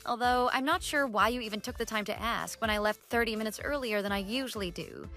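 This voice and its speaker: A woman's voice: a little high, fast, bright, forceful tone. An actress playing a curt professional woman fending off a pestering coworker in a radio drama, cool, dismissive and businesslike. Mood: cool, firm. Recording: voice over background music.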